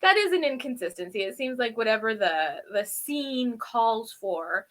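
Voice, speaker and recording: High-voiced woman chatting through an episode recap. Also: normal speed. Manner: informal, animated retelling with acted-out quotes. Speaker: co-host of a TV episode review show.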